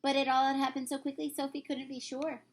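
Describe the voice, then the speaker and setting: High-voiced woman reading a storybook. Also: little pitch movement, fast. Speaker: reader, teacher. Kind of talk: expressive storybook read-aloud narration.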